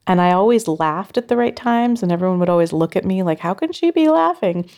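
A woman's voice: mid-range voice, lively intonation, brisk, a little mellow. A woman sound artist talking reflectively in an interview, thoughtful and loosely phrased with frequent "like"s.

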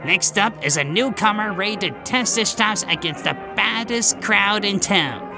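A woman's voice: mid-range voice, expressive, moderate pace, music in the background, close to an everyday voice. A woman voicing a brash, foul-mouthed metal armadillo who announces acts as a club emcee in a comedic audio story. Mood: brash showmanship. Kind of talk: comedic audio drama acting.

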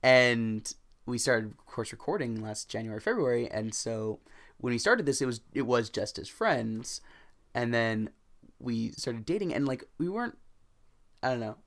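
A young man with a naturally loud voice, chatting on his podcast in a loose, rambling way. He fills pauses with "um" and "like" and breaks into laughter often. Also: medium pitch, animated. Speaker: podcast co-host. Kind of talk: casual chat.

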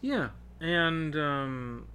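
A man's voice: on the high side, expressive, extremely slow, sharp tone, a little hiss or hum. A man co-hosting a podcast, chatting casually about video games in a wry, joking and sometimes sweary manner. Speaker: podcast co-host. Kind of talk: casual video game discussion.

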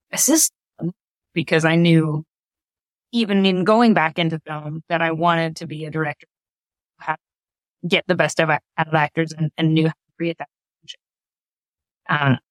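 A woman's voice: low voice, dry recording, poor audio. A woman speaking as an interview guest, a theater director talking conversationally.

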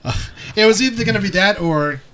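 A man co-hosting a podcast, chatting about pop culture in an animated, conversational way. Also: sits high, fairly quick, slight background noise. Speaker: podcast co-host. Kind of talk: casual pop-culture chat.

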